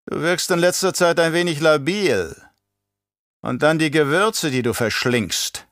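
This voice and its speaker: A man's voice: on the high side, measured pace, fairly bright tone, dry recording, clean studio sound, voice pushed up. A man playing a scheming, imperious older baron in an audiobook, with a mocking, condescending, theatrical delivery. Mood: falsely sweet. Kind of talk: audiobook character acting.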